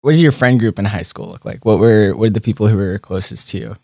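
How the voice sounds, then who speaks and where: Young podcast host asking curious questions. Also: male voice, lowish voice, fast, dark tone, dry recording, clean studio sound. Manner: curious, encouraging, asks follow-ups. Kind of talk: scripted intro, then casual interview.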